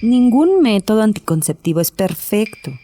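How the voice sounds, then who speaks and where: An actress playing a calm, matter-of-fact doctor in a horror audiobook, explaining things in a measured, clinical manner. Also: female voice, mid-range voice. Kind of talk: dramatized horror audiobook acting. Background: music.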